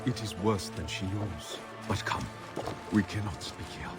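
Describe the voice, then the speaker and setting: An actor playing a solemn mystic seer of ancient Egypt in a video game, with formal, poetic, portentous delivery. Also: male voice, deep voice, unhurried. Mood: troubled, solemn. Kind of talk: video game cutscene acting. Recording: game music behind the voice.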